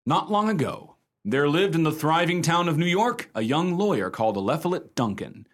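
A man's voice: on the high side, very animated, normal speed. An actor playing a jovial, wry American man spinning tales for friends in a radio play, playfully theatrical. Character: wry, jovial American male raconteur telling friends a ghost story.

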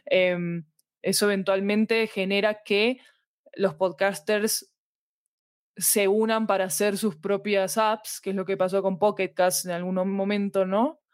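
A woman's voice: medium pitch, little pitch movement, a little slow, no room sound, studio quality. A woman with a Latin American Spanish accent, talking reflectively and at length as a guest in a casual interview. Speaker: interview guest, audio fiction expert.

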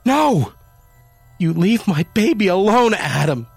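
A man playing a shrill, frantic grieving woman. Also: sing-song, slow, gravelly, slightly rough, no room sound, soft background music, full character voice. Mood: shrieking. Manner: shrill, pleading, screeching. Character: frantic grieving woman clinging to her kitten.

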